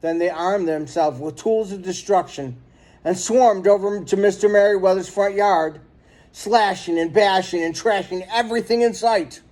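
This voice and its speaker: A man reading a children's story aloud in an expressive, dramatic storytelling manner. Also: high-pitched, normal speed. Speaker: storybook reader.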